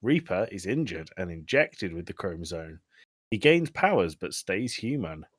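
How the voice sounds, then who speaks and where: A man's voice: sits low, sing-song, moderate pace, dry recording. A man hosting a podcast, casually recapping a film with informal, sweary, dry humour. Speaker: podcast host.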